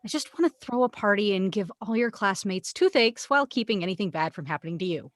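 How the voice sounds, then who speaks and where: A woman's voice: mid-range voice, expressive, fast, no room sound, studio quality, close to an everyday voice. A woman reading the part of a loving, superstitious mother who anxiously looks out for her daughter, in an amateur script read. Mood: earnest, hurt.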